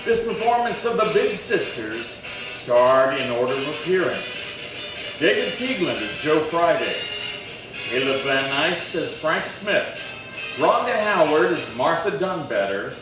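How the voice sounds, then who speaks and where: A man's voice: fairly high pitch, very animated, slow pace. Echoey male radio drama announcer over music. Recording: echoey room, distant mic.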